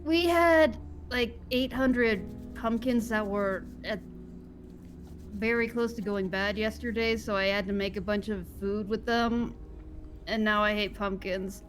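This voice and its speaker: A woman's voice: medium pitch, measured pace, faint music behind, close to an everyday voice. A woman playing a young food worker in casual improvised game role-play, speaking in a plain, matter-of-fact way. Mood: weary venting.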